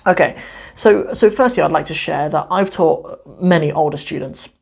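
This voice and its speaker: A man's voice: fairly high pitch, normal speed, gravelly, breathy edge. A man hosting his own show about learning Arabic, talking casually and filling his speech with "like" and "right?". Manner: chatty, many fillers like "like" and "right?". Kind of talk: casual solo talk to camera.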